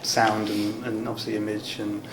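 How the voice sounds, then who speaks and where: A man's voice: fairly low pitch, completely flat delivery, normal speed. A man conducting a casual interview with tentative, loosely phrased questions and an agreeable manner. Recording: echoey room with background noise.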